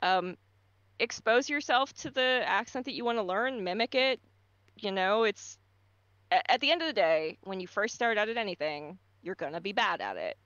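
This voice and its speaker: A woman's voice: medium pitch, big pitch swings, normal speed, fairly bright tone, dry recording, muffled recording. A woman voice actor giving casual advice on a livestream, explaining at length with frequent ums and stammered restarts. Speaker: voice actor guest.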